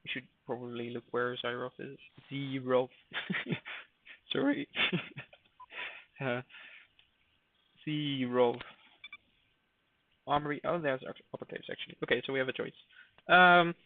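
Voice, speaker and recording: A man's voice: mid-range voice, a little slow, long pauses, slight background noise. A man giving casual live commentary while streaming a game, thinking aloud with hesitant fillers. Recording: dry, phone-like narrow sound.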